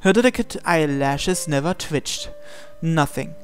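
A man's voice: a little high, expressive, measured pace. A man reading a visual novel aloud as narration, sometimes stumbling and repeating phrases. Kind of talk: dramatic read-aloud narration with asides. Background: game music.

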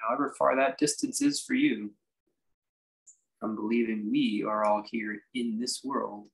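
A man teaching a guided meditation, speaking in measured, halting phrases with reflective pauses. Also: on the low side, lively intonation, creaky, rough recording. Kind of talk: guided meditation, unscripted instruction.

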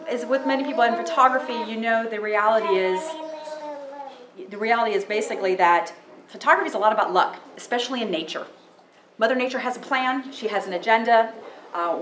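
Loud, chatty woman in an echoey room. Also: medium pitch, a little hiss or hum. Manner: loud, chatty, with many fillers. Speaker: photographer giving a talk. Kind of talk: casual public talk. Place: library meeting room, indoors. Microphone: handheld microphone through PA.

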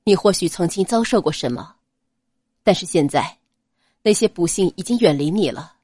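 A gentle young prince in an audio novel speaks with quiet earnestness, voiced by a woman who lowers her tone for him. His voice sits lower than the narration and has a lively rise and fall. The recording is dry and close. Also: moderate pace, breathy edge, no room sound. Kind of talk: audiobook character voice.

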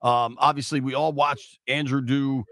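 A man's voice: medium pitch, little pitch movement, moderate pace, a bit bright, no room sound. A middle-aged man hosting a casual sports radio interview on a close studio mic. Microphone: studio boom mic with headphones.

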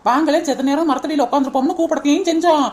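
A woman's voice: sits high, steady tone, very fast, a little mellow, close to an everyday voice. A woman voicing an irritable younger village woman in a cartoon, brusque and sarcastic, with a rural southern Tamil Nadu accent. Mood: matter-of-fact.

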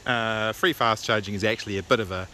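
A man with a New Zealand accent casually narrating an electric car road trip, relaxed and full of filler words. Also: fairly low pitch, fast talker. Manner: relaxed, chatty, many uh fillers. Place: inside a moving car and outdoors at chargers. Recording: noisy car and street background.

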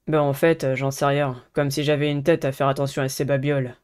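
A man voicing an aloof, sardonic teenage schoolboy in a dating game, with a cool, flat delivery. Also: medium pitch, brisk, clear, resonant tone, lightly acted. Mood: dismissive.

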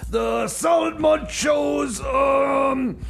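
A man playing a flustered, bumbling radio DJ in comedy radio theater, with a croaky voice and halting, stammering delivery. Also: very high-pitched, raised voice, soft background music, exaggerated voice. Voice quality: creaky, croaky. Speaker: radio show host.

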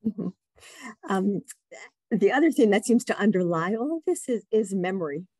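A woman in her sixties interviewing an author over a video call, asking measured, thoughtful questions. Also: medium pitch, lively intonation, darkish tone, no echo. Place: home room. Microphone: video call webcam.

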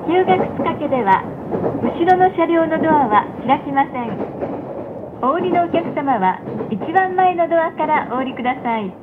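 A woman with a high voice makes a formal, scripted announcement to train passengers. She enunciates politely and carefully. The sound is thin and phone-like, with clear train noise running behind her. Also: lively intonation, normal speed. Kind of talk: scripted recorded onboard announcement. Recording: tinny muffled playback, loud train noise. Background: rumbling train carriage.

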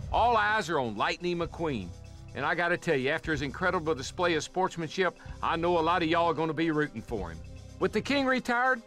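A folksy cartoon race car commentator with a Southern US drawl. His excitable broadcast delivery sits high and moves up and down a lot, with light music underneath.

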